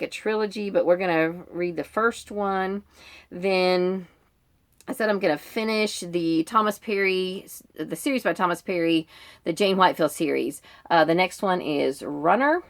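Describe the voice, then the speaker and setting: A woman with a fairly low-pitched voice talks casually, as a book vlogger would. Her delivery is informal and meandering, and she often breaks off her own sentences to add an aside. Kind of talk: casual chatty reading-list vlog.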